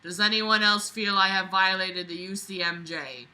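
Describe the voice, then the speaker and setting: A woman's voice: mid-range voice, fairly even intonation, moderate pace, sharp tone, rough recording. A woman reading a book, voicing a bullying female military sergeant in a screechy, demanding way. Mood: angry, demanding.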